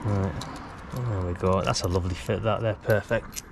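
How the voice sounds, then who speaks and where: Low-voiced British man over background noise. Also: steady tone, moderate pace. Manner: informal, colloquial, talking while working. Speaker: DIY vlogger, radio hobbyist. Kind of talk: casual hands-on tutorial chat. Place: outdoors at a house's brick wall. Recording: clear background noise, outdoor work ambience.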